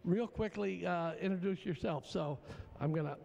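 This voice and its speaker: A man's voice: high-pitched, moderate pace, vocal fry, darkish tone, highs cut off. Folksy older male telethon host. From Tamaqua, Pennsylvania. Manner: folksy, hesitant with many "uh" fillers. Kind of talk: live TV interview.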